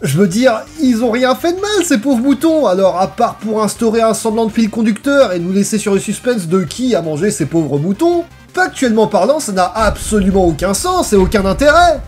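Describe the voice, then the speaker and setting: A narrator delivering a scripted video review in an expressive, emphatic way. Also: medium pitch, moderate pace, hardly any pauses, faint music behind.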